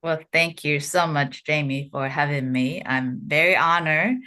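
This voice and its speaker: A middle-aged woman from Japan with a Japanese accent, a podcast guest speaking carefully with many pauses. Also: sits low, fairly even intonation, sharp tone. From Fukuoka, Japan. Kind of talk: podcast interview.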